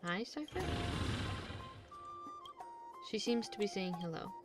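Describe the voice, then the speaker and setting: A woman's voice: mid-range voice, lively intonation, a little fast. Casual, wry woman streamer over game music. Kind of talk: casual live game commentary.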